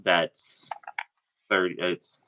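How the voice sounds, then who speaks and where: A man chatting casually as a guest, sharing his own experience in a halting way, often rephrasing himself. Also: sits low, flat delivery, sharp tone, a little hiss or hum. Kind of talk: casual chat.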